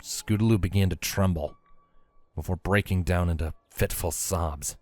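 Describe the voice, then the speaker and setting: A man's voice: sits low, moderate pace, a little mellow, dry recording. A man reading scripted story narration as the narrator, close on the microphone. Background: background music.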